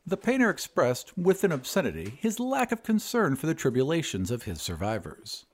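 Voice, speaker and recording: A man reading fiction as a podcast narrator, in an expressive, scripted storytelling style. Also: fairly high pitch, moderate pace.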